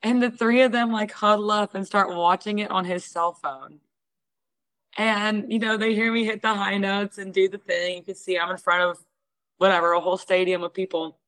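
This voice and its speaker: A young woman from Houston. She is a singer being interviewed, and she tells a story in a lively way, acting out what other people said. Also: mid-range voice, moderate pace, no room sound, rough recording. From Houston, Texas. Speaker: guest singer.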